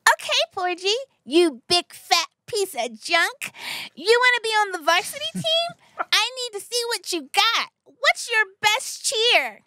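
A woman's voice: very high-pitched, big pitch swings, a little slow, sharp tone, dry recording, full character voice. A woman voicing a bossy, insulting cheer captain in a comedy sketch. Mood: bossy, scornful.

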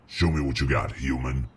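A man's voice: very deep voice, a little hiss or hum, pitched below the performer's own voice. A man voicing a commanding, threatening demon bent on killing humans in an audio roleplay.

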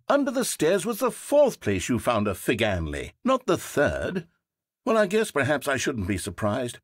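An actor voicing a fussy, self-important male game narrator with a slightly husky voice, dry and sarcastic. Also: mid-range voice, no echo, full character voice. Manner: dry sarcastic, theatrical swings from indignant to wounded. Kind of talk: scripted video game narration. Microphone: close studio mic. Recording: dry studio recording.

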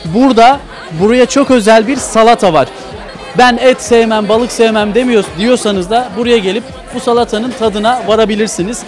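A man's voice: extremely high pitch, normal speed. A young man reporting on location in a restaurant, presenting with enthusiasm into a handheld mic. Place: busy restaurant. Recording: noisy restaurant with music. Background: music and crowd chatter.

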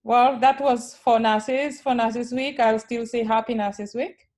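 A woman with a Kenyan accent, a nurse educator giving a friendly webinar presentation over a video call, often pausing on filler sounds. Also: a little high, steady tone, a little mellow.

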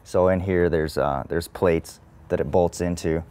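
A man's voice: deep voice, steady tone, a little slow, dark tone, clear, resonant tone. A man talking casually and informally as he shows off his motorcycle. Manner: informal, plain-spoken. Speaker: motorcycle owner. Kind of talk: casual show-and-tell.

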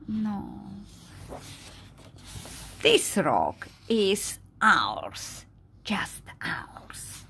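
Very slow, expressive woman reading aloud. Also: fairly low pitch, a little hiss or hum. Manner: slow, dramatic, expressive delivery with simple explanations. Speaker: picture-book reader. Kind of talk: read-aloud storytelling with asides to young listeners. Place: indoors at a table.

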